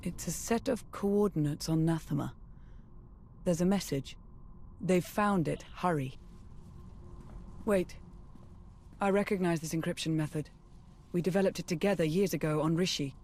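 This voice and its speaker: A woman playing a brisk, analytical military adviser in a dramatic sci-fi video game. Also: fairly low pitch, a little mellow, slight background noise, lightly acted. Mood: puzzled, analytical. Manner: urgent, serious.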